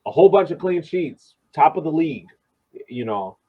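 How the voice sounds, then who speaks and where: Man, husky voice, dull lo-fi sound, podcast co-host, halting casual sports talk. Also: on the high side, lively intonation. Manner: halting, repeats phrase starts. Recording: dull, lo-fi voice track.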